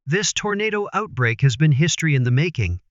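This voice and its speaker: A man's voice: a little high, animated, brisk, muffled recording, background noise, close to an everyday voice. A man playing a TV news reporter, narrating a scripted report in a grave, formal manner.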